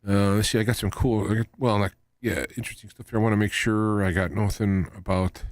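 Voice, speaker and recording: A man hosting a live talk show, rambling in a halting way as he wanders from point to point. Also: lowish voice, fairly even intonation, no room sound, clean studio sound. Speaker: radio show host.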